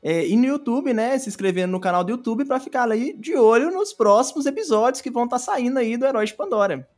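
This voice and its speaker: A young Brazilian man chatting casually as an interview guest, informal and halting, often repeating words. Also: extremely high pitch, animated. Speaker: podcast guest, audio drama creator.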